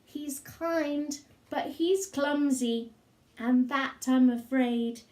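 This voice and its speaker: A cheeky little boy voiced by a woman reading a storybook, very high and earnest. He is insistent and wheedling, drawing out his fibs slowly in an echoey room. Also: darkish tone. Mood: earnest fibbing. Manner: wheedling, insistent, hesitant while inventing.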